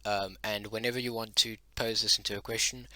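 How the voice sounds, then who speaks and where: A man's voice: fairly low pitch, little pitch movement, fairly quick, sharp tone, dry recording. A man whose first language is Dutch-derived, explaining his invented language in a casual spoken lesson, hesitant with many ums and self-corrections.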